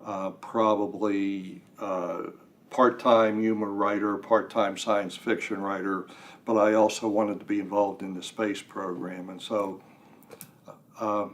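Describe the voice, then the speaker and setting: An older man chatting as an interview guest, talking in a halting way with self-deprecating humor. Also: on the low side, little pitch movement. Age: 60s or older. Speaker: guest writer. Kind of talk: casual interview. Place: radio studio.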